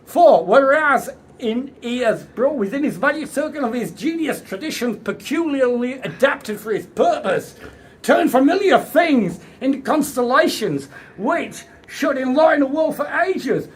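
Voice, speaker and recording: A woman voicing a bearded Victorian male author who rants in furious, booming oratory from a podium. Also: animated, unhurried, slight background noise. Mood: ranting.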